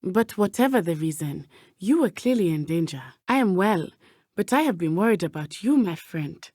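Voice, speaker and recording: A woman's voice: on the low side, animated, normal speed, a little mellow, dry recording, studio quality. A woman with a Zimbabwean accent voicing a brave, earnest teenage witch in a video game, speaking in formal, precise phrases. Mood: earnest, concerned. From southern Africa (Matabeleland). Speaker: student companion character in a video game.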